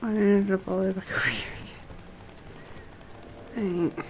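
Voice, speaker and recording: A woman streaming a game, commenting casually and haltingly as she thinks aloud and murmurs to herself. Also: mid-range voice, steady tone, slight background noise, poor audio. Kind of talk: casual live gameplay commentary, reading quest dialogue aloud.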